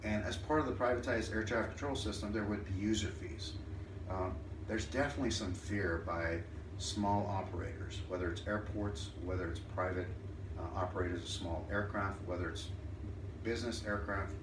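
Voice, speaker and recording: A low-pitched man presents a formal, persuasive speech, heard from well away from the microphone. He speaks deliberately, breaking sentences into short phrases. The room adds echo, and there is clear noise behind him. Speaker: student or presenter giving a speech. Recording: roomy, distant mic, clear background noise.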